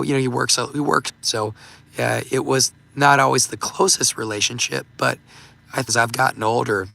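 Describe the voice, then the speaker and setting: Hoarse gravelly man rambling on a livestream. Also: medium pitch, pushed and bright. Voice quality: gravelly, voice giving out. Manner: rambling, halting, many fillers and restarts. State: hoarse, losing his voice. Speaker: livestream host. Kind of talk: casual livestream talk reading super chats.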